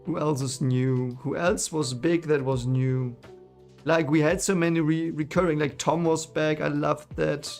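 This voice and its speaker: A man's voice: a little high, steady tone, measured pace, creaky, a little mellow, soft background music. A man with a German accent, German his first language, chatting casually with his live chat about a book he is reading, rambling with many fillers and restarts. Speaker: streamer reading a book series.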